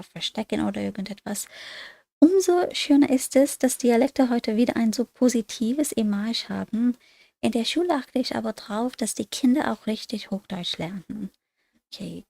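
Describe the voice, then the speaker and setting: A woman with a slightly high voice works through language exercises, slipping between reading aloud and casual asides. She mutters and trails off while she checks answers, full of little fillers. Her voice comes through dry and close, with no room around it. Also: creaky, dark tone, dry recording. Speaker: language learner studying on a livestream.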